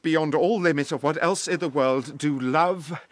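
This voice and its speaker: Man as young romantic lover, slightly high voice, unhurried, ardent, Shakespearean audio drama. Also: no echo, natural voice. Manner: ardent, reverent. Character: earnest, lovestruck young suitor.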